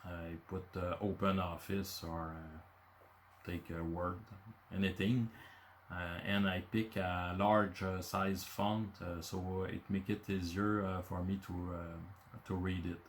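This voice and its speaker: A man's voice: deep voice, level tone, takes it slowly, slight background noise. A man in his fifties from Quebec City, a native French speaker with a Quebecois accent, hosting his channel and talking casually from bullet points with many filler uhs. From Quebec City, Canada. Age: 50s. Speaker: channel host.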